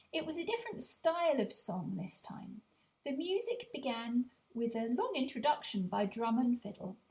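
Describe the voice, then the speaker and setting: High, very animated woman storyteller. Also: rough recording. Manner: storytelling, with confiding asides to the listener. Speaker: author and audiobook narrator. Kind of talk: scripted children's story narration.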